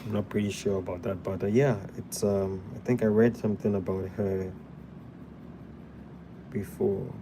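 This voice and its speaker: A man's voice: fairly low pitch, fairly even intonation, normal speed, long pauses, noisy background. A young man from Ghana hosting a live drawing tutorial, chatting casually with viewers while he sketches at a desk indoors. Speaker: drawing livestream host.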